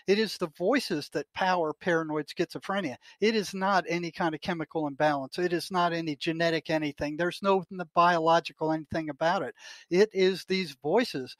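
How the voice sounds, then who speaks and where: Older guy hosting a podcast, high-ish voice, hammering his point home, dry sound. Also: fairly even intonation, brisk, a bit bright, no room sound. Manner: emphatic, insistent statements. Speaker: podcast host, retired psychotherapist. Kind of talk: casual chat.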